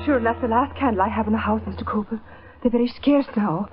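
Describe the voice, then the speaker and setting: An actress playing a chattering, indignant lodging-house keeper in old radio drama style. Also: female voice, on the high side, fast talker, lightly acted. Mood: huffy. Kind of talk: 1930s-40s radio drama acting. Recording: muffled, noisy vintage radio recording.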